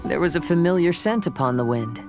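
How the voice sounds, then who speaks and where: An actor voicing a calm, collected young male wanderer in a video game, gentle and poetic. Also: on the high side, fairly quick. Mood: calm, amused. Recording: loud background music.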